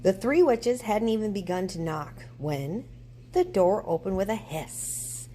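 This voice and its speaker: A woman reading a children's storybook aloud in an expressive narrating style. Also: mid-range voice, measured pace, a little hiss or hum. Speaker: storybook reader.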